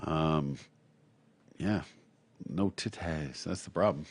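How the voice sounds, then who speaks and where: A man's voice: a bass voice, takes it slowly. An American man co-hosting a podcast in casual banter, reacting with crude, sarcastic jokes. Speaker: podcast co-host.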